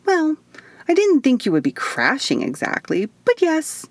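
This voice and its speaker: A woman's voice: high voice, huge pitch swings, a little slow, no echo, voice pushed up, heavily acted. A woman voicing a small, bubbly, teasing alien woman in human form for an audiobook, with a perky, playful delivery. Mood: eager, reassuring. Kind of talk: audiobook character dialogue.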